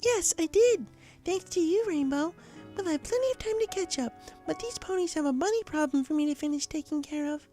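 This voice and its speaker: A man voicing a timid, gentle young female pegasus pony in a soft falsetto, polite and hesitant, in a story reading. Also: expressive, a little fast, soft background music. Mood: polite, shy.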